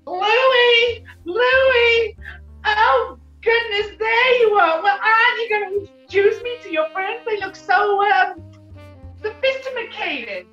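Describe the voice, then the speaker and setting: A woman's voice: very high-pitched, slow pace, pushed and bright, reverberant, dull audio, soft background music, heavily acted. A woman playing a gushing, theatrical American nightclub singer and gangster's fiancée in a 1940s radio comedy. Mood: excited, fawning. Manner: gushing, cooing, melodramatic.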